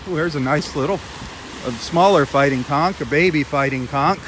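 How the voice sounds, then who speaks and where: A man's voice: fairly high pitch, moderate pace, a bit bright. A man hosting a casual live nature vlog in an easygoing, chatty, marveling way. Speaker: host of a live beach walk. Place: outdoors on a sandbar at a beach. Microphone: handheld phone camera. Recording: noisy outdoor audio with lapping water.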